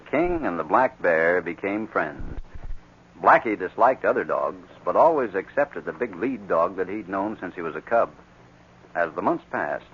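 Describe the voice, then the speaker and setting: A man narrating a 1940s radio adventure drama as a formal, measured storyteller. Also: sits low. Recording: muffled, noisy 1940s radio recording.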